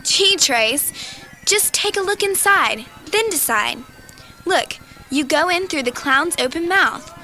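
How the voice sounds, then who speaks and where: A woman's voice: high-pitched, animated, takes it slowly, pushed and bright, exaggerated voice. A woman playing an eager, curious young girl in a children's audio drama. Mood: eager, intrigued. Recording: old cassette with faint music.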